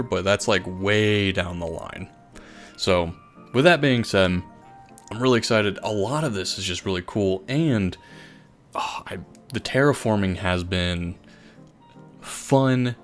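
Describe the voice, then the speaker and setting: A man doing casual, enthusiastic gaming commentary in an informal, rambling way. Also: low voice. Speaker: gaming video host. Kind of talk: casual Minecraft time-lapse commentary. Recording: voice over background music. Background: music.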